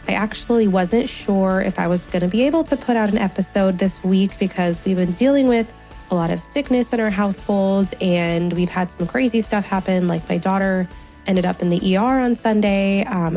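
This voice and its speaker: A woman's voice: mid-range voice, a little mellow. Woman in her 30s w a stuffy congested voice, chatty friendly podcast host. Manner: chatty, friendly, laughs often. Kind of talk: solo podcast talk.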